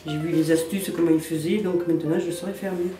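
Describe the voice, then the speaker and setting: A woman from the south of France, a resident, chatting hesitantly about renovating her flat. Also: on the low side, fast talker. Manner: hesitant, many fillers. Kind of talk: casual chat on a work site. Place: flat under renovation, indoors. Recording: echoey room from far off, music over.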